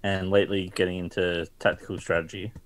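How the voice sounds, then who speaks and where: A man's voice: low voice, flat delivery, brisk. An Australian man from South Australia, a guest on a casual gaming podcast, talking in a laid-back, dry way.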